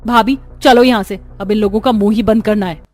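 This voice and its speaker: A woman voicing characters in a cartoon family story with animated, emphatic delivery. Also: mid-range voice, brisk, faint music behind. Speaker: voice actor for several cartoon characters. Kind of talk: cartoon dialogue acting.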